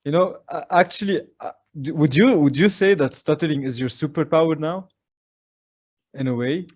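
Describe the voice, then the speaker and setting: A man's voice: a little high, moderate pace. A man hosting a podcast, talking in a casual way with fillers and restarts. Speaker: podcast host.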